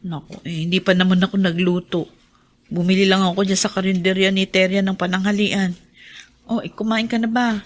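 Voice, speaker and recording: A woman's voice: mid-range voice, little pitch movement, a little fast, natural voice. An actress as a weary, tender Filipino mother. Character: hardworking, self-sacrificing seamstress mother, weary but tender. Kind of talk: Filipino radio drama acting.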